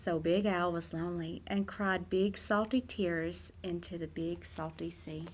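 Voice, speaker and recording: A woman narrating a children's picture book aloud in an expressive, dramatic way, with emphatic pauses and hushed phrase endings. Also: lowish voice, a little hiss or hum. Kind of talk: expressive storybook read-aloud.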